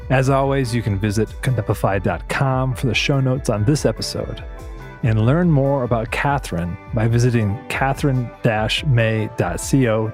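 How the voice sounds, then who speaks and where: A man hosting a reflective interview podcast, speaking in measured, thoughtful phrases. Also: mid-range voice, darkish tone. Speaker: podcast host.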